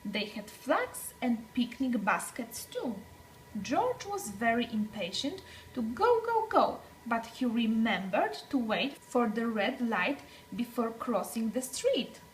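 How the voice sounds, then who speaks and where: A woman's voice: fairly high pitch, big pitch swings, slow pace, slight background noise, rough recording. A woman reading a picture book aloud to young children, expressively, with dramatic phrasing and emphasized words. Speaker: children's story reader.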